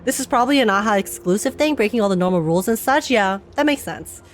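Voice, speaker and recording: A woman's voice: medium pitch, expressive, fast, soft background music. A young woman streamer giving casual, chatty reaction commentary on a game, laughing often.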